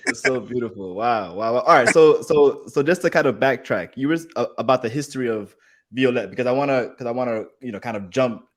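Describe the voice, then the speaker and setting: A man's voice: medium pitch, brisk. A man hosting a podcast interview, enthusiastic and full of fillers and repeated words. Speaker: podcast host. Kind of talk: casual interview.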